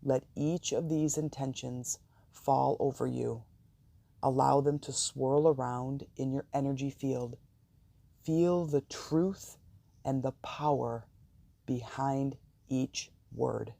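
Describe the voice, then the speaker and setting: Slow, very dark gravelly guided meditation voice. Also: medium pitch, dry recording. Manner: slow, calm, soothing, deliberate pauses.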